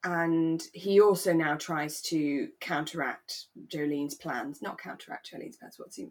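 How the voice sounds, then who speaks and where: Husky, lower-pitched woman chatting. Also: fairly even intonation, measured pace. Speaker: podcast co-host. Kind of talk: relaxed chat discussing a radio drama.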